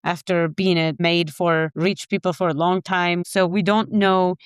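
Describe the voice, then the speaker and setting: A woman from Morocco co-hosting a podcast, calmly discussing a short story. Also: on the low side, measured pace, clear, resonant tone, no room sound, clean studio sound. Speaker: podcast co-host. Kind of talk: literary discussion.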